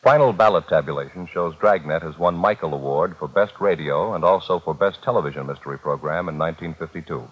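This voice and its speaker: Male 1950s radio announcer: solemn scripted narration, very low and rough-edged, muffled vintage broadcast sound. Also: fast talker, few pauses. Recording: dull 1950s radio recording, husky sound.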